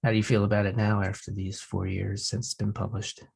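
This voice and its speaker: A man with a lowish voice, husky and full of creak. He hosts a poetry interview conversationally, effusive and halting as he goes. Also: flat delivery, a little mellow. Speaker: poetry show host.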